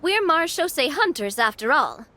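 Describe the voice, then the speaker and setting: A woman's voice: high-pitched, very animated, moderate pace, pushed and bright, exaggerated voice. A woman voicing an earnest, reassuring young female monster hunter in a fantasy game.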